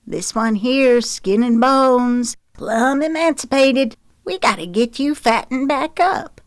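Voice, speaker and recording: A woman's voice: high-pitched, slow pace, no echo, pitched above the performer's own voice. Woman voicing a folksy, emphatic older Southern woman. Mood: fussing, folksy. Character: folksy older woman, plain-spoken Southern household cook.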